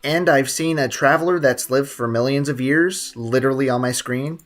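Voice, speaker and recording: A man's voice: mid-range voice, moderate pace. A man streaming a video game, casually rambling, joking and chatting with viewers. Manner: rambling, joking, talks to chat. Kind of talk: casual gameplay commentary.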